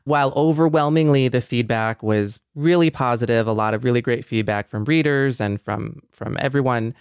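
Male co-host, clear medium-pitched voice, reflective casual talk, dry recording. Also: normal speed, no room sound. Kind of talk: casual conversation.

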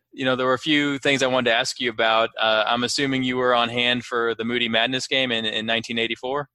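A man's voice: medium pitch, pushed and bright, no room sound, rough recording. A man hosting a casual sports history podcast, interviewing in a conversational way with somewhat hesitant phrasing.

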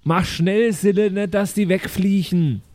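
A man's voice: high voice, a little slow, a little mellow. A man playing in a tabletop role-playing podcast, joking casually with the group.

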